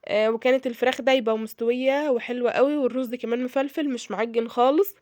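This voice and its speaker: A hoarse woman with an Egyptian accent talks her viewers through a home recipe at a rapid clip, her voice sitting a little high. She chats casually, filling pauses and checking in with them often. The sound is close and dry, with no room echo. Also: fairly even intonation, darkish tone. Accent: Egyptian Arabic. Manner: chatty, addresses viewers directly, frequent fillers and check-ins. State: hoarse from a cold. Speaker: cooking vlogger. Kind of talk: casual cooking tutorial.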